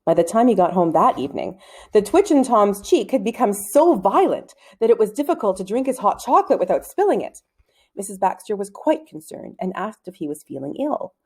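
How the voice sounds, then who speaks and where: A woman reading a children's storybook aloud as narrator, in an expressive style. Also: medium pitch, a little fast, slightly rough.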